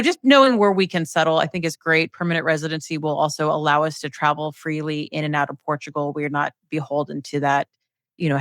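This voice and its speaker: Low-voiced woman hosting a podcast. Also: a bit bright, dry recording, studio quality. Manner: chatty, hesitant, with "um" and "you know" fillers. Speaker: podcast host. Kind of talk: casual podcast chat.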